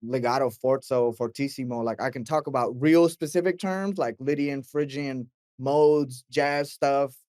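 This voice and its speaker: A young man from Mexico, a guest mix engineer, telling his story in a relaxed, animated way, full of fillers and acted-out quotes. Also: mid-range voice, a little slow, hardly any pauses, no echo, studio quality. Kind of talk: casual interview chat.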